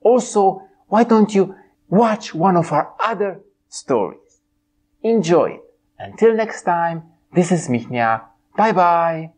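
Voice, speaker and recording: A man in his forties from Romania reading a children's storybook aloud as an expressive host, drawing out his words. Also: high-pitched, slow pace. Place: small room indoors.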